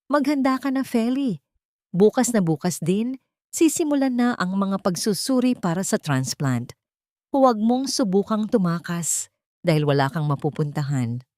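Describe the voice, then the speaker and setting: A woman's voice: mid-range voice, lively intonation, normal speed, darkish tone, no room sound, clean studio sound, natural voice. A woman voicing a menacing, hateful old matriarch in a story, threatening and commanding. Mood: cold threat.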